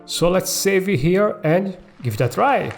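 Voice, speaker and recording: Guy doing a coding tutorial, slow casual step by step, music in the back. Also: high voice, animated, gravelly. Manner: checks in often with tag questions like okay and all right. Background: background music.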